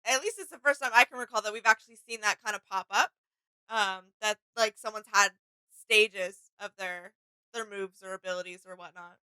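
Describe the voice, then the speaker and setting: A woman's voice: mid-range voice, brisk, sharp tone, clean tone, no echo, low-quality recording. A woman co-hosting a reaction video in casual chat, agreeable and informal as she thinks aloud. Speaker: co-host of a reaction video channel. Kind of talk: casual chatty reaction commentary.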